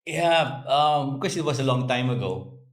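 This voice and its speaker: A man's voice: medium pitch, expressive, normal speed. A Filipino-accented man in his fifties answering interview questions off the cuff, hesitating and repeating words as he goes. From Philippines. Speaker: car company executive being interviewed. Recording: roomy, echoey indoor sound.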